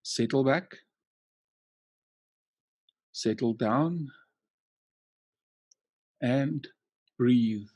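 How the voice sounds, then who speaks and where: A man leading a guided hypnotic relaxation as a coaching trainer, soothing and measured, leaving long pauses between short phrases. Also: medium pitch, a little mellow, no room sound.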